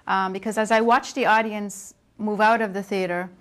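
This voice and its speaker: Woman interviewer with a mid-pitched voice. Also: steady tone, moderate pace, fairly bright tone. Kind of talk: conversational TV interview. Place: TV studio.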